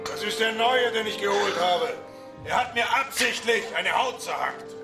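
An actor in a radio play for children playing a cruel, domineering tanner boss who barks orders and threats. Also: male voice, high-pitched, moderate pace, music in the background. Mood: gruff, menacing.

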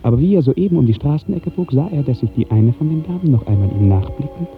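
A man narrating a literary 1950s radio drama in a measured, poetic storytelling manner. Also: fairly high pitch, expressive, faint music behind. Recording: muffled narrow 1950s radio recording.

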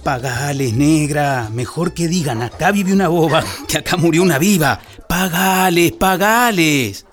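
An actor playing a wry, cautioning Argentine filmmaker in a radio drama, with an Argentine accent. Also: male voice, on the high side, expressive, measured pace, few pauses, music in the background. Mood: wry urging.

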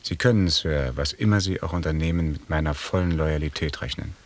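Loyal lieutenant, low voice, stiff and guarded, formal radio drama delivery. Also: male voice, fairly quick. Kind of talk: German radio drama acting.

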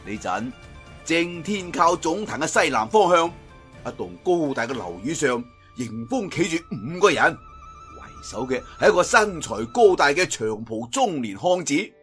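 A man's voice: a little high, very animated, measured pace, a bit bright, soft background music. A man with a Cantonese accent narrating a martial arts tale in a theatrical, colloquial storytelling style. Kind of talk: dramatic scripted wuxia story narration.

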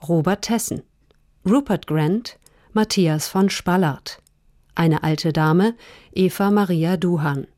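Mellow, lowish-voiced female radio announcer. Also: dry recording, clean studio sound. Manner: measured, formal. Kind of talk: scripted closing credits announcement.

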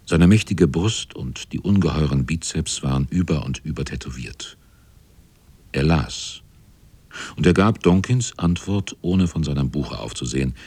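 An actor narrating a radio play as a reflective seaman, in a dark, husky, measured voice. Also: a bass voice, dry recording, full character voice. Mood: measured, musing. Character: reflective seaman recounting his shipmates' voyage.

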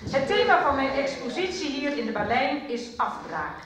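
A woman's voice: high-pitched, big pitch swings, moderate pace, a crowd in the background. An actress playing a proud, defiant woman artist, making a wordy, wry speech in a radio soap. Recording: echoey hall, distant, music behind.

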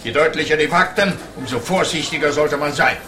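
A man playing a ponderous, pedantic and rather slow-witted police officer in a radio play. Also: a little high, pushed and bright, echoey room, faint music behind. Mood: pompous, pedantic. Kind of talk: German-language radio play acting.

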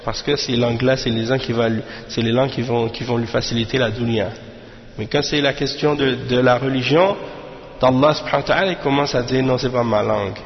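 A man's voice: medium pitch, a little fast, big-room sound, dull audio. A man giving an informal religious lesson. He talks hesitantly, restarting his sentences and dropping in Arabic filler words. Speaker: religious teacher.

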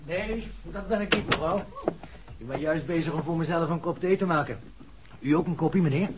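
A man's voice: a little high, moderate pace, lightly acted. An actor playing a polite, obliging male servant in a 1960s radio drama, with a deferential manner. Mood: polite, helpful. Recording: muffled old radio recording, faint noise.

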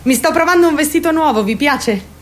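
An actress playing a naive, lovestruck, wounded teenage girl in an intimate radio drama monologue, confiding directly in her listeners with dramatic pauses. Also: high-pitched, lively intonation, a little hiss or hum. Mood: giddy, secretive. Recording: music under the voice. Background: music.

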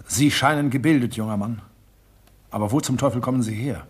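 Casting: man voicing a disdainful old-world collector, very husky, pompous radio-play delivery. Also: mid-range voice, brisk, lightly acted. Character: haughty misanthropic male antique collector. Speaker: actor in a radio drama. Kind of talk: German radio play acting.

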